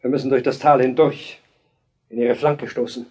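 An actor playing a brooding, war-weary but resolute king in a biblical radio play, speaking deliberately. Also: medium pitch, steady tone, darkish tone, husky, natural voice. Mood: commanding, resolute.

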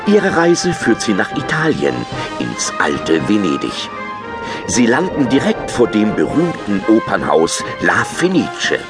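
A man's voice: lowish voice, wildly swooping pitch, unhurried, over loud music. A man reading scripted narration for a children's audio drama.

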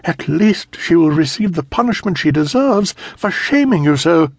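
Guy putting on a prissy scolding governess lady, way up high, shrill and indignant, dry close sound. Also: animated, moderate pace, no room sound. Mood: wrathful. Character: stern prudish middle-aged female governess, scolding.

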